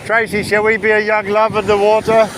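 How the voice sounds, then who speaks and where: A man giving casual, rambling commentary as a travel vlogger, walking outdoors along a beach. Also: sits high. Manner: rambling, chatty. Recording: windy outdoor recording with surf noise. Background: wind, waves, outdoor ambience.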